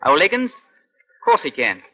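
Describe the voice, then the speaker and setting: Surly young street tough, highish voice. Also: male voice, huge pitch swings, measured pace, full character voice. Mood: cocky, evasive. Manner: surly, clipped, slangy American film-gangster talk. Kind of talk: 1950s radio crime drama acting. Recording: dull old radio recording.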